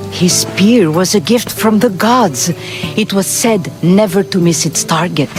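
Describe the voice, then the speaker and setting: An actress playing an earnest, coaxing temple priestess of ancient Greece in a video game. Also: female voice, lowish voice, lightly acted. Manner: earnest, storytelling. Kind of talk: video game cutscene acting.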